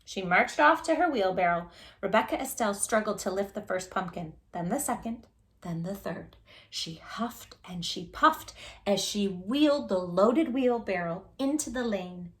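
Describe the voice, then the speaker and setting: A woman's voice: mid-range voice, measured pace. A woman hosting storytime, reading a picture book aloud expressively and chatting playfully with young listeners. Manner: playful, animated, addressing children directly. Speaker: children's storytime reader and host.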